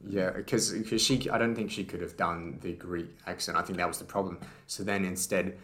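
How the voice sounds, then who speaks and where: A man co-hosting a casual film rewatch podcast, relaxed and explaining his theories at length. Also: deep voice, moderate pace. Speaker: podcast co-host.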